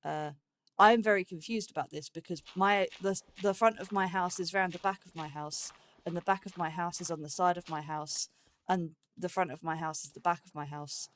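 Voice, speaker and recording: A woman's voice: lowish voice, fairly even intonation, normal speed, slight background noise. A woman giving casual, chatty let's play commentary as a gaming host, thinking aloud as she goes. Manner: thinks aloud, chatty, talks to game animals.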